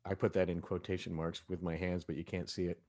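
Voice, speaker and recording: Male director, lowish voice, animated storyteller in a relaxed cast roundtable. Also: fast. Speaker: director of an audio drama. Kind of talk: casual cast discussion.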